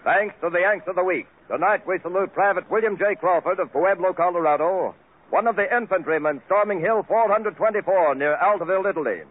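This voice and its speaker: Man, 1940s radio announcer, formal, fairly high voice, tinny broadcast. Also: a little fast, faint music behind. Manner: smooth, formal announcer delivery.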